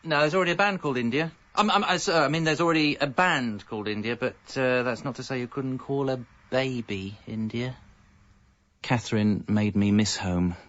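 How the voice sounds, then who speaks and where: A man's voice: medium pitch, brisk, fairly bright tone, dull audio, natural voice. An actor playing a harried husband and father with an English accent, narrating a radio comedy in a wry way, stammering when flustered. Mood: exasperated. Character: harried, exasperated husband and father telling his story. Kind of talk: radio comedy first-person narration and dialogue.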